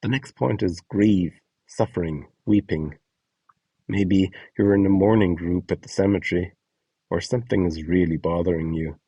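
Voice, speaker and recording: Deep, mellow, husky man presenting a guide. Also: normal speed, dry recording, muffled recording. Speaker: presenter of an audio donation guide. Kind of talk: scripted spoken guide, announcing prompts with short example scenes.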